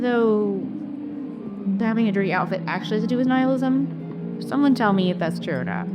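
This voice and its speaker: A woman giving casual, chatty gameplay commentary, reacting aloud as a streamer. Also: mid-range voice. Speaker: game streamer. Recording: voice over loud game music. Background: game music.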